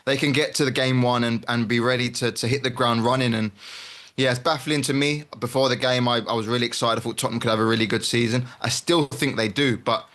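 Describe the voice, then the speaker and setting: A man giving casual, candid football analysis as a pundit in a measured way. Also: medium pitch, steady tone, fairly bright tone.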